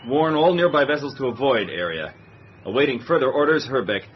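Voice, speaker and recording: Gruff sub captain, male actor, defiant and authoritative, lively intonation, old radio serial delivery, lo-fi sound. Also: mid-range voice, a little fast, a little hiss or hum, natural voice. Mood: formal, brisk. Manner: authoritative, blunt. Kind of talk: old-time radio drama acting. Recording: dull lo-fi radio drama, music behind.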